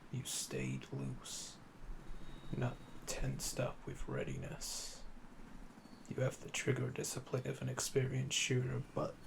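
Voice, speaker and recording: A man voicing a calm, smug, flirtatious assassin in a slow, intimate ASMR roleplay, deliberate and condescending, often dropping to a murmur. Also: mid-range voice, vocal fry, background noise, full character voice.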